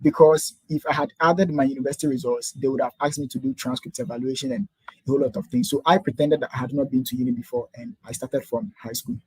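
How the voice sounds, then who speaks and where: A young Ghanaian man talking quickly and eagerly as an interview guest, laying out his story step by step. His voice is mellow and a little husky, and the recording is dry and close. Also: medium pitch, fairly even intonation, no room sound, poor audio. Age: 20s. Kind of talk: interview answers.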